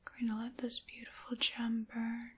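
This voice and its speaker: A seductive, sinister witch acted by a woman murmurs in a very breathy whisper, fairly high for all its softness. She takes her time over every phrase with theatrical deliberation. It is intimate, close-mic ASMR roleplay with a taste for dark chaos. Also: monotone, exaggerated voice. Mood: hushed, savoring. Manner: slow, deliberate, hushed, theatrical. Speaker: ASMR performer. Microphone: close microphone.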